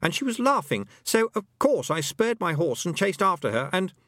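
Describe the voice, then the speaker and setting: A man voicing a haughty yet anxious twelve-year-old lost boy in a storybook, emphatic and excitable. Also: high-pitched, normal speed, no echo, clean studio sound, voice pushed up. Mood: uneasy, recounting.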